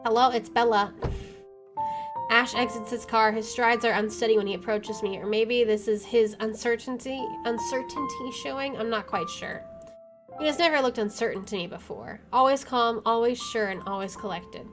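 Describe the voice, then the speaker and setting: A woman's voice: on the high side, moderate pace. A woman doing a let's play, reading game narration aloud and reacting expressively, with game music behind her.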